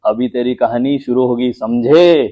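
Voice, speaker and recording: A man's voice: mid-range voice, racing, dark tone, natural voice. A man playing a teasing, cheerful older brother joking with his younger sibling.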